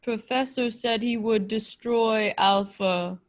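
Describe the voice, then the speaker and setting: Woman acting living machine man, slow, flat, clipped, blunt, menacing, radio play. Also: a little high. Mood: flat, matter-of-fact.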